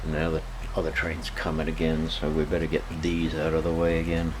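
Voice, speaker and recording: Low-voiced man, halting, noisy recording. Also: monotone. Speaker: hobbyist vlog host. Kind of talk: casual unscripted show-and-tell narration.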